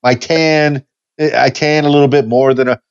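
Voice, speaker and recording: A man's voice: medium pitch, steady tone, normal speed, smooth, no echo, muffled recording. A man in his fifties hosting a casual podcast chat, easygoing and rambling, with frequent restarts. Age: 50s. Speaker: podcast host.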